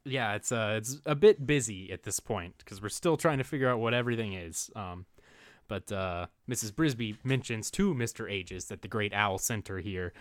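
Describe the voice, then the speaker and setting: A man's voice: fairly low pitch, moderate pace, no echo, clean studio sound. A young man co-hosting a casual movie recap podcast, rambling with many fillers and chuckling as he reads out his notes. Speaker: podcast co-host.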